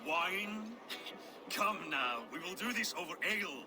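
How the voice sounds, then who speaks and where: An actor playing a boisterous, hearty, hard-drinking warrior noble in a video game. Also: male voice, high voice, lively intonation, takes it slowly, music in the background. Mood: jovial, challenging. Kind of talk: cinematic video game acting.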